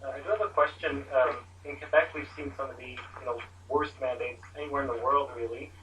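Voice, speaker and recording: A man's voice: mid-range voice, fairly even intonation, a little fast, sharp tone, far from the mic, low-quality recording. Reporter, male, hesitant delivery, room noise behind. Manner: hesitant questioning with many fillers. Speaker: reporter asking questions.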